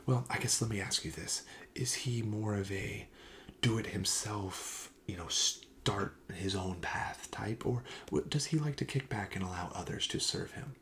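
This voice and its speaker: Low, creaky, soft-spoken ASMR man. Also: a little slow. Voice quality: soft, breathy half-whisper. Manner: gentle, polite, attentive salesman. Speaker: ASMR host playing a card shop clerk.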